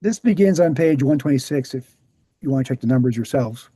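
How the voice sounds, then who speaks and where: A man playing an earnest, weary veteran researcher explaining things in a measured way at a screenplay table read. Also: medium pitch, expressive, warm tone, breathy edge, dry recording. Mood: explanatory.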